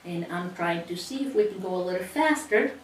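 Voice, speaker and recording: A woman's voice: lowish voice, animated, brisk, far from the mic, a little hiss or hum. A middle-aged woman with a Cuban accent teaching a sewing class, explaining each step in a chatty way with frequent restarts. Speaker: sewing instructor. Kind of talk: hands-on teaching demonstration. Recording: echoey room, voice away from the mic, faint ambience.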